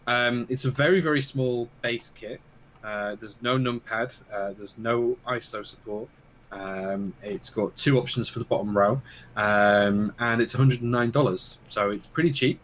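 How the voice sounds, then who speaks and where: A man co-hosting a casual keyboard podcast, losing his voice and talking in halting bits full of fillers. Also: mid-range voice, steady tone, a bit bright, slight background noise, rough recording. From United Kingdom. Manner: halting, many fillers and restarts. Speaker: podcast co-host. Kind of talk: casual chat about keyboards.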